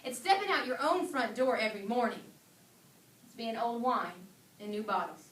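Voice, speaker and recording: A woman's voice: fairly high pitch, expressive, normal speed, a bit bright. Echoey, distant woman preaching dramatically. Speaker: student performer reading a dramatic sermon. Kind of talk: dramatic staged reading, preaching. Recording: echoey distant hall, faint background noise.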